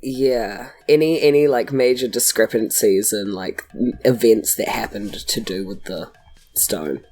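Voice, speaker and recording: A man's voice: fairly low pitch, measured pace, warm tone. A man playing a tabletop game, asking tentative questions in a casual chatty way.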